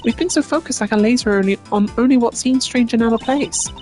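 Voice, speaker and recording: A woman plays a cheeky girl sidekick from a video game. Her voice is high and chirpy, informal, teasing and encouraging, with music playing behind it. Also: fast, darkish tone, natural voice. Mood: helpful, upbeat. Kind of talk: hobby voice acting of a video game.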